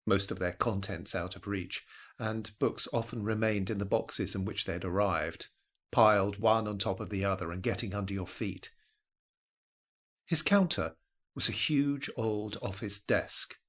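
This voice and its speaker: A man narrating a scripted literary story in a measured, wry storytelling manner. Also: fairly low pitch, fairly bright tone. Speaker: narrator of an audio drama.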